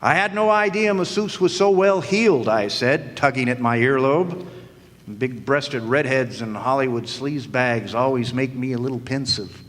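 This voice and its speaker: A man's voice: mid-range voice, moderate pace, fairly bright tone, lightly acted. An actor playing a wisecracking hardboiled male private eye, narrating in a dry, sardonic noir radio style on a stage. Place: live stage performance in a hall. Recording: long hall reverb.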